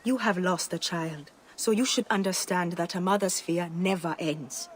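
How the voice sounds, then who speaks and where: A woman's voice: on the low side, moderate pace, a little hiss or hum, lightly acted. An actress playing a grieving, dignified mother in a video game, speaking sorrowfully. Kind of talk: video game dramatic acting.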